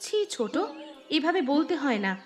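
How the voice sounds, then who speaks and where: A woman plays a kind village housewife in a cartoon tale. Her voice is high, her manner patient and sensible, and music plays behind her. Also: expressive, normal speed. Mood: reproachful. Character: sensible, kind elder village daughter-in-law. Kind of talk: Bengali cartoon fairy-tale dubbing.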